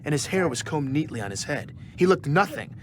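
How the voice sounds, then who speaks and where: A man's voice: a little high, sing-song, a little fast, noisy background. An actor playing an investigative journalist, narrating his own story in an audio drama with a dry, confiding manner. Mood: intrigued, urgent. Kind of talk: first-person scripted narration for audio drama.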